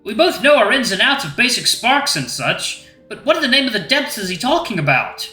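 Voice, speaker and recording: A man's voice: high voice, big pitch swings, fairly quick, pushed and bright, pitched above the performer's own voice, exaggerated voice. A man voicing a young male panther student who is blunt, folksy and keeps asking questions. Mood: puzzled. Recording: echoey room, faint music.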